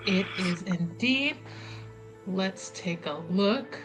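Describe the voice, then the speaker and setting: Woman, player, slow and easy pace, chatty, faint music. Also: mid-range voice, animated. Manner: chatty, laughs often. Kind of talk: casual tabletop play.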